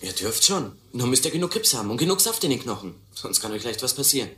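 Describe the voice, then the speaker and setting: An actor playing a tough, scornful street boy from the slums, talking gruff, cocky slang in a radio play. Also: medium pitch, very animated, quick pace, pushed and bright. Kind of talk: German radio play dialogue. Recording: echoey, slightly noisy audio drama recording.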